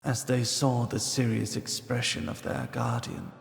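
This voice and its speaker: A man narrating a scripted fantasy tale as a dramatic, measured storyteller. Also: medium pitch, flat delivery, creaky. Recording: loud music behind narration.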